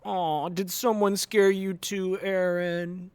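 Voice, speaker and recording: A man's voice: sits high, little pitch movement, takes it slowly, smooth, dry recording. A man playing a teasing, curious young art student in an audio drama, bantering casually.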